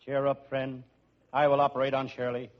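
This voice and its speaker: An actor playing a wisecracking hard-boiled private detective in an old-time radio comedy, with dry, deadpan delivery. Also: male voice, medium pitch, moderate pace, natural voice. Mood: breezy. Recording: muffled, noisy old radio recording.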